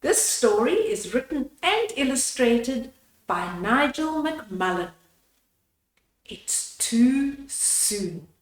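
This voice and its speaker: A woman's voice: a little high, very animated, extremely slow, reverberant. An older woman storyteller reading a bedtime book to young children and explaining the pictures in simple words. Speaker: storyteller, host of a children's read-aloud.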